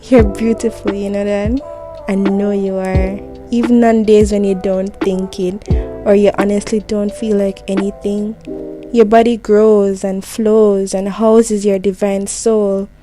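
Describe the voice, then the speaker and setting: A woman delivers a gentle, reassuring, intimate spoken monologue, speaking directly to the listener like a heartfelt voicemail. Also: medium pitch, flat delivery, measured pace, faint music behind.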